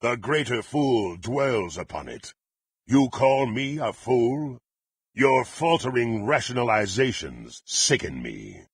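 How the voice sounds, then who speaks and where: An actor playing a brutal, bloodthirsty fantasy warrior in a video game, haughty and threatening, with a gruff, raspy voice and formal archaic diction. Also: male voice, medium pitch, sing-song, takes it slowly, no room sound, exaggerated voice. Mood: indignant threatening. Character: brutal, menacing, bloodthirsty male warrior, contemptuous and impatient. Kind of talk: theatrical fantasy game voice acting.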